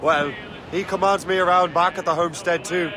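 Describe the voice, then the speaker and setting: A man acts a cocky young assassin captain in a game drama, his voice a little higher than most. He sounds defiant and sardonic, commanding when at sea. Wind and water noise runs clearly behind him. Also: fairly even intonation, a little fast, close to an everyday voice. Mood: indignant. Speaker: video game protagonist.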